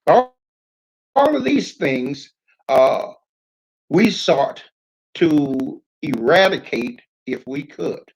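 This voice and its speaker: An older man giving an unscripted public address, reminiscing in a halting, deliberate way with frequent hesitations. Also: fairly high pitch, very animated, slightly rough, poor audio. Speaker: community speaker recounting his experience as a pioneer.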